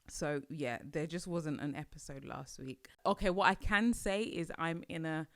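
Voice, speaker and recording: A woman's voice: sits low, clean tone, dry recording, clean studio sound. A young adult woman from Nigeria hosting a podcast, chatting casually and musing aloud with hesitant restarts. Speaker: podcast host. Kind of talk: casual solo podcast chat.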